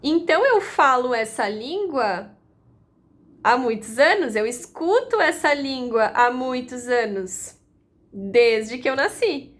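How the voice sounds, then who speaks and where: A woman giving a calm, explanatory lesson, repeating her points and using analogies. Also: sits high, slow. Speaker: astrology teacher.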